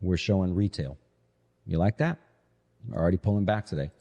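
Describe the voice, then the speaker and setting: A man's voice: low-pitched, brisk, dark tone, muffled recording. A man hosting a live financial show, giving casual, conversational market commentary peppered with rhetorical check-in questions, his voice echoing. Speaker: financial show host, investment manager. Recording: echoey hall-like reverb on voice.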